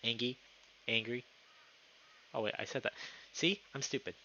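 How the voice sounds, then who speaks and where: A man's voice: medium pitch, moderate pace, bright, forceful tone, highs cut off, slight background noise. A young man live streaming, moving between casual chat and reading story narration aloud.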